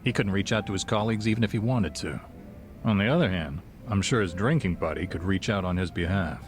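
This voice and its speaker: A shrewd bartender played by a man in a game cutscene, with a low voice and a measured, composed delivery. He is dry and discreet, and music plays clearly behind him. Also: lightly acted. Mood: calm, helpful. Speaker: video game character. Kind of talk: dramatic game cutscene acting.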